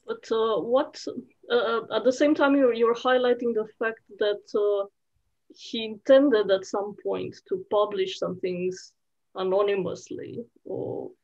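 A woman's voice: mid-range voice, fairly even intonation, takes it slowly, darkish tone. Hesitant woman host, dull video call. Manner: searching, hesitant questions with many fillers.

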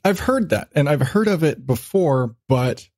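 A man's voice: fairly high pitch, very animated, measured pace, a little mellow, no room sound, clean studio sound. Rambling, irreverent man hosting a podcast. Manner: rambling, irreverent, self-mocking. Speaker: podcast host. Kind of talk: casual, profane podcast chat.

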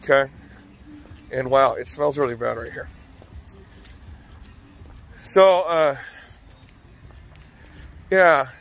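Guy vlogging while walking outside, kinda high voice, rambly, lots of "okay?". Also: a bit bright. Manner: rambling, says "okay?" and "you know" a lot. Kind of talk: casual walking vlog monologue.

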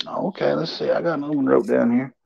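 A man in his forties with a gravelly voice, telling rambling, folksy, salty anecdotes as a show co-host. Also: mid-range voice, quick pace, no echo. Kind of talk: casual anecdotal storytelling. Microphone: remote livestream call. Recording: dull, muffled online stream audio.

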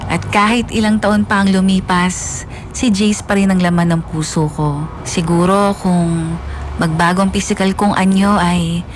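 A woman's voice: medium pitch, fairly even intonation, unhurried, slight background noise, natural voice. A woman acting a lovesick, obsessive woman who narrates her story in a wistful, confiding way in a radio drama. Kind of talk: first-person scripted drama narration.

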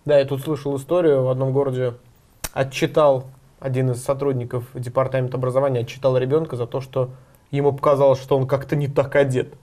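A man's voice: mid-range voice, flat delivery, quick pace, mellow. Chatty young male TV co-host. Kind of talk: casual studio chat. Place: TV studio set.

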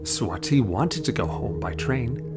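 A man's voice: mid-range voice, lively intonation, measured pace, music in the background. A man narrating an audio drama as a scripted story.

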